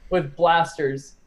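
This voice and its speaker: A young man chatting casually on a group call, hedging and halting as he goes, with some swearing. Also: a little high, a little hiss or hum. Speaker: discussion participant. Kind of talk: casual chat.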